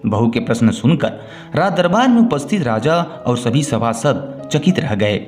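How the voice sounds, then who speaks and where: A man telling a scripted moral folk tale as a storyteller, in the measured way of read narration. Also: medium pitch, sing-song, a little mellow. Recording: music bed under the voice.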